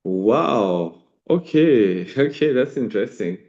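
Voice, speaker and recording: A man's voice: mid-range voice, lively intonation, slow pace, a little mellow, dull audio. A French man with a French accent, a native French speaker hosting an interview for language learners in an encouraging, chatty way over a video call. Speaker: language teacher, host.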